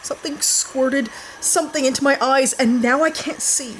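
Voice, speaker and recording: An actress playing a brash, trigger-happy young heroine who talks bluntly and snappily. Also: female voice, high-pitched, fairly even intonation, normal speed, fairly bright tone, a little hiss or hum, poor audio.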